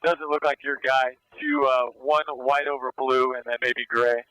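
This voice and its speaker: A man calls out directions over a police radio from an air unit. His voice is bright and forceful at a middle pitch, and his phrasing is terse and commanding. The transmission sounds dry and close. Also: normal speed, clear, resonant tone, dry recording, low-quality recording. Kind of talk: police radio tactical callouts.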